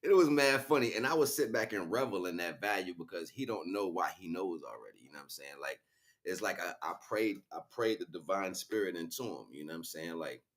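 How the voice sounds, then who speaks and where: Middle-aged male livestreamer, low-pitched, casual, conversational, loosely rambling spiritual talk. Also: animated, fairly quick. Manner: conversational, frequent "you know what I'm saying" tags. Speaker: livestream host.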